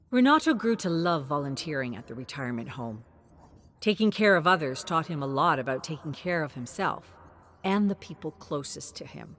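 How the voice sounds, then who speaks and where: Low-pitched woman narrating a story, animated intonation. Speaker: narrator of character lore.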